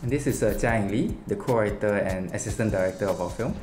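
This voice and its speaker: A young man with a Singaporean accent giving an interview as a film director, pausing mid-sentence. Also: on the low side, roomy sound. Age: young adult. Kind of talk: seated interview. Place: small indoor room. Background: music.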